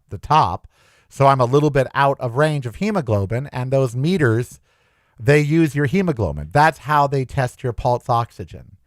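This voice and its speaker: A man hosting a solo podcast, talking in a chatty, anxious, animated way. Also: mid-range voice, no room sound, studio quality. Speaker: podcast host. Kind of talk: casual solo podcast monologue.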